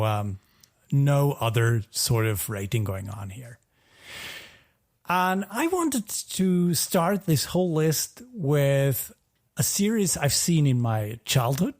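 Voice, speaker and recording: Male co-host, unhurried delivery, mid pitch, casual and slightly halting. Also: darkish tone. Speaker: podcast co-host. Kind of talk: casual podcast talk.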